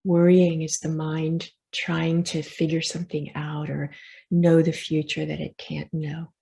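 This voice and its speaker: A woman meditation teacher with a lowish, mellow voice and a good deal of creak. She gives soft, reassuring guidance, leaving pauses between gentle instructions. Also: flat delivery. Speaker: meditation teacher leading a session.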